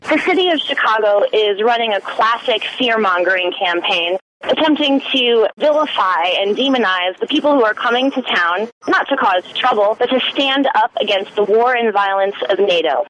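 A woman activist speaking in a forceful, indignant tone. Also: mid-range voice, expressive, moderate pace, a little hiss or hum, poor audio. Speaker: activist spokesperson. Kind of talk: interview statement.

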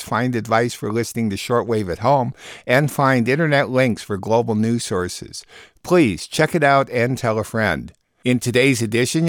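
A man's voice: mid-range voice, no room sound, studio quality. A man hosting a radio program, reading a scripted digest of world news.

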